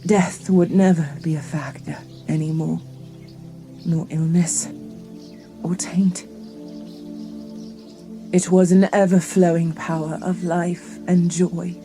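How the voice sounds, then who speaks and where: A woman as a slow, stately titan matriarch. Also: lowish voice, fairly even intonation, mellow, faint music behind. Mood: solemn, explaining. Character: dignified old matriarch of giant earth titans. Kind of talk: fantasy audio drama acting.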